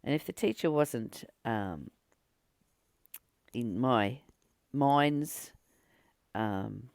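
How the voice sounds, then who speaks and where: A woman leading a spiritual book study, reading aloud and reflecting in a deliberate, emphatic manner with pauses and repeated phrases. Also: extremely low pitch, vocal fry, a little mellow, no room sound, studio quality. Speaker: spiritual teacher and channel host.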